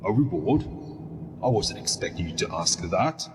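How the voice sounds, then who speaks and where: A low-voiced male researcher in a fantasy game. He sounds grudging and condescending, echoing in a big hall with faint background noise. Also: sing-song, normal speed. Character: grudging, dismissive male researcher paying adventurers. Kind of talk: fantasy video game character acting.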